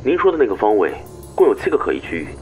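Man as a rapid-fire tactical subordinate. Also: fairly low pitch, wildly swooping pitch, darkish tone, soft background music, rough recording. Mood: urgent. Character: alert male tactical subordinate giving reports. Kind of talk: radio drama acting.

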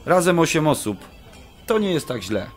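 An actor playing a mildly exasperated, placating adult son, speaking casually in a radio drama. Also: male voice, fairly high pitch, sing-song, moderate pace, soft background music. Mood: reasoning.

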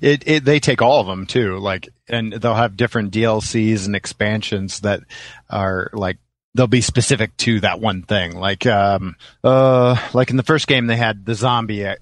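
A man hosting a podcast, talking casually about video games in a halting, rambling way. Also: fairly low pitch, no echo. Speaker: podcast host.

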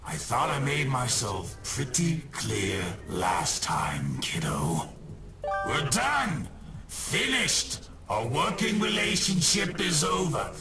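A scheming shadow spirit from a video game, high for a male voice and leaping wildly in pitch. He is theatrical, mock-polite and quickly exasperated, drawing his words out very slowly over loud music. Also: creaky, heavily acted. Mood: fed up. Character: theatrical, scheming, short-tempered shadowy male spirit who takes souls. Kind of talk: video game villain acting.

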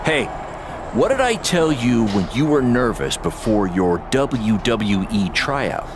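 A man playing a sincere, excitable wrestler friend giving encouragement in a video game. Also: mid-range voice, takes it slowly. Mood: encouraging. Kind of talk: video game story acting. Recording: noisy backstage room ambience.

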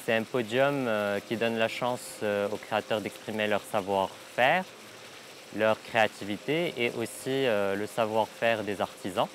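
A Moroccan fashion designer in his thirties, talking to camera in an interview outdoors by a pool, pausing often with drawn-out fillers. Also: on the low side, fairly bright tone, a little hiss or hum. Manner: hesitant, many drawn-out "euh" fillers. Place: outdoor hotel garden by a pool.

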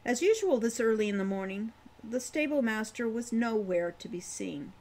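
A woman's voice: a little high, lively intonation, normal speed, darkish tone. A woman in her sixties reading her own fantasy novel aloud as story narration at home. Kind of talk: audiobook-style story narration. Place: room at home, indoors.

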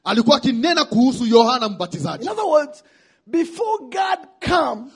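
A middle-aged man preaching emphatically into a handheld mic on a conference hall stage, pausing for an interpreter and calling for responses. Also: very high-pitched, lively intonation. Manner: emphatic, rising to shouts, calls for responses. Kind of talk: shouted sermon with live interpretation. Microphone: handheld microphone.

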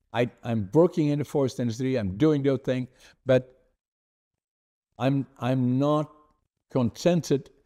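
An older man with a Dutch accent, English not his native tongue, tells his life story in an earnest, deliberate way. He speaks slowly with a mellow, warm tone, heard over a video call from a home office. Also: medium pitch. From Netherlands. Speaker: podcast host. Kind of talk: conversational storytelling. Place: home office with framed certificates.

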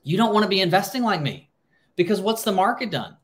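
A man's voice: high voice, lively intonation, fast talker. A man in his thirties with an American accent, explaining things informally to camera in a conversational, emphatic way, repeating words for stress. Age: 30s. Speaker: financial planner hosting a livestream.

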